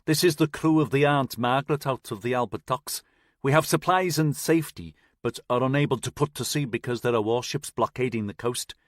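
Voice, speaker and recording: A man voicing a caller with a Liverpool accent, calling out loudly over the radio. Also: mid-range voice, a little fast, dry recording, clean studio sound, close to an everyday voice. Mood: urgent.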